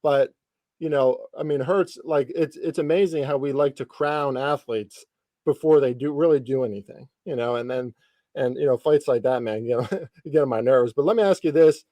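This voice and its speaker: Mellow man in his thirties hosting casually. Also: mid-range voice, moderate pace, dry recording. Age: 30s. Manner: informal, lightly profane slang. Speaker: podcast host interviewing a guest. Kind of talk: casual sports podcast chat.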